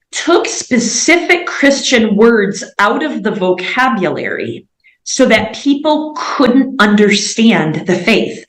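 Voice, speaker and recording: A woman's voice: on the high side, fairly bright tone. Earnest woman in an echoey room. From United States. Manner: earnest, fervent storytelling. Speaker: missionary, interview guest. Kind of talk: personal testimony in an interview.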